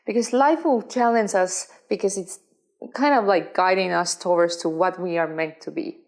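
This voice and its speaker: A woman's voice: fairly low pitch, moderate pace, darkish tone. A woman from Estonia talks as a guest in an interview, her speech earnest and full of small restarts. Her English has a non-native shape, with phrasing that stops and reaches for the next word. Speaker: guest, professional swimmer. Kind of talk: conversational interview.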